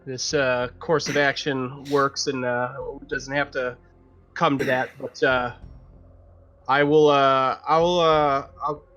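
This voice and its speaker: A man roleplaying as a player in a tabletop game, improvising his lines haltingly. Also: mid-range voice, flat delivery, a bit bright, highs cut off, soft background music. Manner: hesitant, halting.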